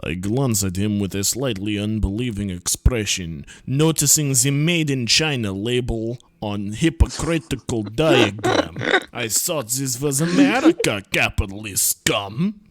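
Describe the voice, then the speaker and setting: Guy doing a mock russian accent, grumpy soviet dude ranting about capitalists, slow and swingy, dry sound. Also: mid-range voice, no echo, pitched below the performer's own voice, lightly acted. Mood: scornful. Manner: theatrical, hammy, deadpan grumbling. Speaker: player reading a visual novel aloud. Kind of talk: comic read-through with friends.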